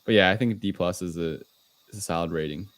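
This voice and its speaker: A man's voice: deep voice, fast. A man co-hosting a movie review podcast in casual, conversational panel chat.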